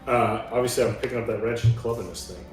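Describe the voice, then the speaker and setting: A man's voice: mid-range voice, fast. Man in a very echoey room. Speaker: tabletop game player. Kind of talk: casual game chat. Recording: very echoey, roomy, music behind.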